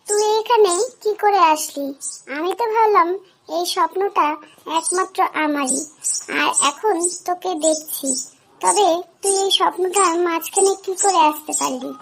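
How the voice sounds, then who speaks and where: A woman's voice: very high voice, fairly bright tone, exaggerated voice. A woman voicing a mischievous, forgetful female fairy in a children's cartoon, chatty and playful.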